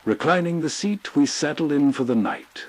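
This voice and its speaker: A man's voice: fairly high pitch, lively intonation, normal speed, a little mellow. A man narrating as host in an atmospheric, scripted storytelling style.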